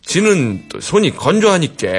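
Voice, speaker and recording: A man's voice: a little high, big pitch swings, unhurried, faint music behind. A man playing an eager, shy new bridegroom in a radio skit, with a broad Jeolla dialect and a hesitant, stammering, folksy manner. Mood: bashful, excited. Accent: exaggerated southwestern Korean (Jeolla) dialect.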